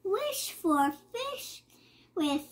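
A child reading a rhyming picture book aloud in a halting way, sounding out and repeating words. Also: extremely high pitch, very animated. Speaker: young reader.

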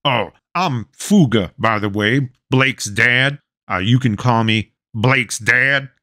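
A man's voice: mid-range voice, slow pace, pushed and bright, no echo, studio quality. A man voicing a big, folksy dad who jokes a lot. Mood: joking. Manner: folksy, joking. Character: big, jokey country dad.

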